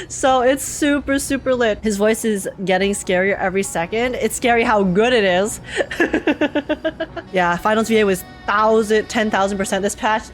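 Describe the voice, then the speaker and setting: A young woman streaming a game, reacting casually and excitedly with giggly, slangy enthusiasm. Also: mid-range voice.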